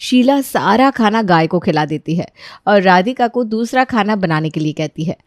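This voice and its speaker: A woman tells a scripted story as its narrator. Her pitch sits in the middle of the female range, and the sound is close and free of room echo. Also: animated, normal speed.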